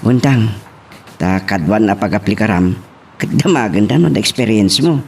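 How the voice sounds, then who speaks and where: Man acting low-voiced earnest young Filipino son. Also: expressive, normal speed, darkish tone, a little hiss or hum. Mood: explaining. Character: respectful, earnest young man, graduate son job hunting. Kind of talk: Ilocano audio family drama acting.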